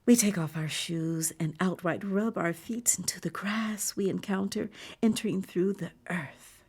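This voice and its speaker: A woman performing audio fiction as an awed theme-park visitor in a bleak future, mixing breathy wonder with wry understatement and often slipping into a whisper. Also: medium pitch, measured pace, a little mellow. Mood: hushed wonder. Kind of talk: dramatic first-person audio fiction narration.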